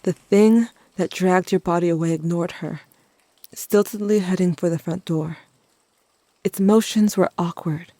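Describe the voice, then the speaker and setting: Actor playing grieving teen narrating an audio drama, soft mellow tone, very dry close recording. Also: medium pitch, steady tone, measured pace, dry recording, natural voice. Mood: tense.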